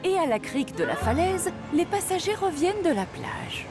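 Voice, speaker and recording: A lively storyteller for a children's cartoon. The narration is scripted and expressive, with plenty of melodic movement over prominent music. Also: female voice, very high voice, normal speed, exaggerated voice. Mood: storytelling.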